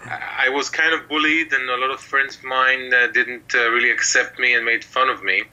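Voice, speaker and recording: A man's voice: medium pitch, steady tone, moderate pace, pushed and bright, highs cut off. A middle-aged man from Israel, a mentalist telling his story in an interview, rambling with many fillers. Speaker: mentalist guest. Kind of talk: relaxed interview talk.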